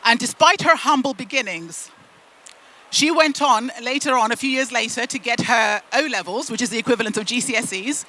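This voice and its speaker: A woman from the UK and Zimbabwe, a native Shona speaker, giving a motivational storytelling talk with deliberate phrasing and emphatic pauses. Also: a little high, pushed and bright, low-quality recording. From United Kingdom and Zimbabwe. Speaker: keynote speaker at a business event.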